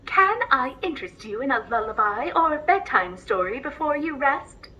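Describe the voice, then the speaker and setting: A woman's voice: high voice, sing-song, moderate pace, bright, forceful tone, muffled recording, slight background noise, heavily acted. A woman playing an earnest, polite logistics droid who speaks formally and tells bedtime stories to a passenger. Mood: grateful, excited. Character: earnest, grateful female logistics droid, eager bedtime storyteller. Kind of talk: scripted character dialogue and storytelling.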